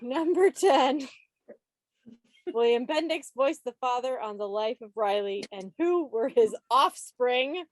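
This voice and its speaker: Playful, higher-voiced woman quizmaster. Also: expressive, takes it slowly, no echo, rough recording. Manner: playful, teasing, mock-dramatic asides. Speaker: quiz host at a club meeting. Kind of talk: lively trivia quiz hosting. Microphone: video call.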